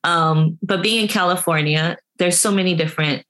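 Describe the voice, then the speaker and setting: A woman speaks as a podcast guest in a somewhat low voice. She answers in a measured, candid and reflective way, taking her time to think. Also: fairly bright tone. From Singapore. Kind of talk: casual conversational interview.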